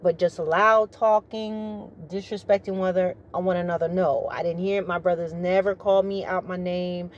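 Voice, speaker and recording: Deep-voiced middle-aged woman, briefing-style delivery. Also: steady tone, highs cut off, a little hiss or hum. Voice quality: naturally deep, rich voice. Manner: measured, formal briefing-like delivery. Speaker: retired military vlogger. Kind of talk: opinionated monologue to camera.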